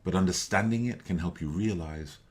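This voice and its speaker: A man with a very low voice narrates a scripted explainer video. He speaks calmly and in a measured way, building a persuasive case.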